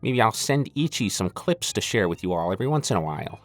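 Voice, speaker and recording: A man's voice: on the low side, lively intonation, quick pace, faint music behind, close to an everyday voice. A man playing a cocky, goofy intruder who has hijacked an audio show, stammering and rambling irreverently as he addresses the listener. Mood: sincere, awkward. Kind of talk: comedic monologue addressed to the listener.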